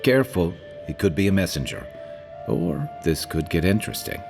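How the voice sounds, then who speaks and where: A man's voice: low-pitched, expressive, moderate pace. A man playing a big, easygoing, protective soldier companion in a video game, with a wry manner. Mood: teasing, alert. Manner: wry, drawling, nautical slang. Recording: background game music.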